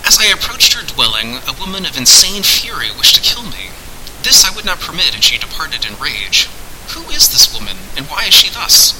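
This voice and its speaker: A man voicing an earnest, wry adventurer who talks in formal, measured archaic language. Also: a little high, sing-song, bright, forceful tone, slight background noise, voice pushed up, heavily acted, rough recording. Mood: wry, inquiring.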